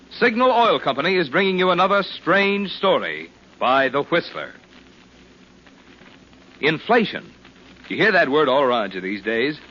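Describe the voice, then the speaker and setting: A man's voice: fairly high pitch, sharp tone. A man announcing in 1940s radio style, reading scripted copy with an emphatic salesman's delivery. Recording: muffled 1940s radio recording.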